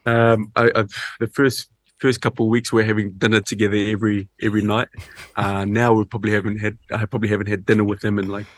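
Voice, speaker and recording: A man's voice: fairly low pitch, level tone, quick pace, dry recording. A man with a New Zealand accent, a rugby player, answering interview questions in a hesitant, laid-back way full of ums. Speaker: rugby player and show host. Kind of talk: casual interview chat.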